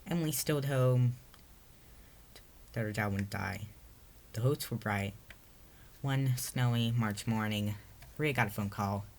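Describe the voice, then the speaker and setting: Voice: medium pitch, unhurried, pauses often, a little mellow, slight background noise. A narrator reading their own story aloud in a storybook read-aloud style.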